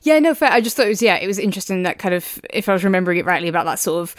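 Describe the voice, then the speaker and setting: A woman's voice: medium pitch, expressive, rapid-fire, dry recording, clean studio sound. A woman co-hosting a podcast, talking through a review in an agreeable, thoughtful, conversational way. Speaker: podcast co-host reviewing audiobooks. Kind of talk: casual discussion.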